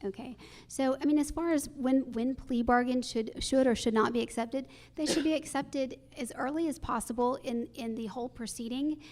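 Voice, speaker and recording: High-voiced emphatic woman candidate. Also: fairly even intonation, moderate pace, a little mellow. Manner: emphatic, repeats key phrases. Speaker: judge and election candidate. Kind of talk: candidate forum answers, campaign speech.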